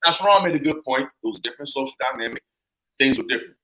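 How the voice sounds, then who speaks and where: An emphatic man on a live discussion panel, his pitch swinging widely as he argues point by point. He pushes back on others and leans on figures and examples. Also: lively intonation, very fast, bright, forceful tone, rough recording. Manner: emphatic, argumentative, cites data. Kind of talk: heated panel debate.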